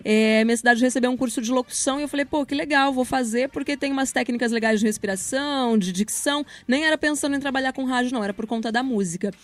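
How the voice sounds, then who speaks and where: A woman's voice: sits high, fast, hardly any pauses, no echo. A woman, speaking articulately and informally as a guest in a conversational interview. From Paraná, Brazil. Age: 30s. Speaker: interview guest, radio announcer and journalist.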